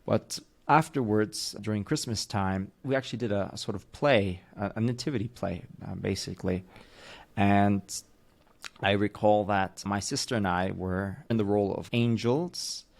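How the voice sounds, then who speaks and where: A man's voice: on the low side, moderate pace, gravelly. A man in his thirties who grew up in the United States, telling stories thoughtfully and rephrasing carefully as a podcast co-host. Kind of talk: reflective conversational podcast.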